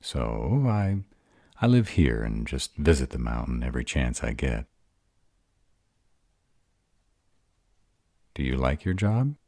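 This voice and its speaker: A man playing a very deep, bashful were-dragon lover. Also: darkish tone, dry recording, clean studio sound, heavily acted. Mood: eager, nervous. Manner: hesitant, earnest, tender, easily flustered. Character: gruff, bashful male were-dragon lover in human form. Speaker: voice actor in roleplay audio. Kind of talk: intimate romantic audio roleplay.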